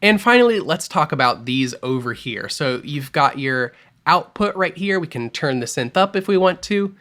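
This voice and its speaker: Relaxed man explaining music software. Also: on the high side, normal speed. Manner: relaxed, explanatory, mildly opinionated. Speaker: music production tutor. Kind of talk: screen-recorded software tutorial. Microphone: voice-over over screen capture.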